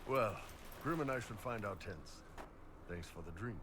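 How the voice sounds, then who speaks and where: A huge, easygoing mercenary captain played by a male actor with a low voice. He talks bluntly and casually in fantasy game style, over clearly audible background noise. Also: expressive, moderate pace, close to an everyday voice. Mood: blunt, jovial. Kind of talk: fantasy video game acting.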